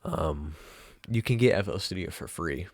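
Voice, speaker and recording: A young man hosting a casual software tutorial in an informal, rambling way. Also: deep voice, expressive, moderate pace, no echo. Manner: informal, rambling, mild swearing. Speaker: tutorial host. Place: room at home.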